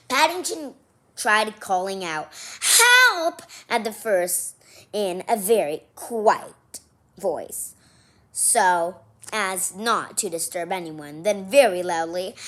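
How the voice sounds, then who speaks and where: A woman's voice: mid-range voice, a bit bright. A woman reading a children's story aloud expressively, with casual, chatty asides to her listeners. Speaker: storybook reader.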